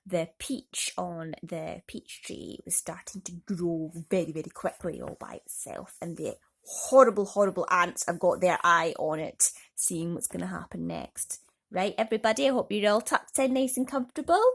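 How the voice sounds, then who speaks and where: A woman's voice: lowish voice, moderate pace, vocal fry. A woman reading a children's bedtime story expressively, with dramatic emphasis, as a host talking to young listeners.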